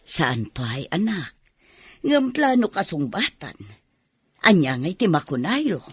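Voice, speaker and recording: A woman's voice: lowish voice, big pitch swings, takes it slowly, husky, no echo, full character voice. An actress with an Ilocano accent playing a bashful middle-aged mother in a radio drama. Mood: warm, hesitant. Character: widowed mother around fifty, bashful about new romance.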